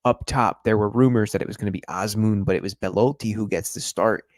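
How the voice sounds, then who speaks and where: Casting: male stream host, very low voice, dry close sound, chatty and analytical. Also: a little fast, no echo. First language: English. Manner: chatty, analytical, addresses viewers directly. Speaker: football podcast host. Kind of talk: live-stream match review.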